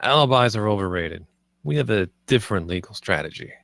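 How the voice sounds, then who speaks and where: A man's voice: fairly low pitch, animated, brisk, vocal fry, a bit bright, no room sound. Man reading as a brash, cocky guy. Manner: brash, slangy. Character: cocky, crude young man awaiting murder trial. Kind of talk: table read of a comedy script.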